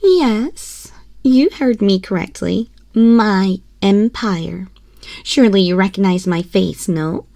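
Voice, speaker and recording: A woman's voice: medium pitch, lively intonation, takes it slowly, vocal fry, mellow, no room sound. A woman playing a haughty, mocking empress in an ASMR roleplay, with imperious, condescending and theatrically formal delivery, close on a binaural microphone. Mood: haughty, taunting. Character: haughty, cruel, mocking empress toying with a prisoner. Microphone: close binaural microphone, dry.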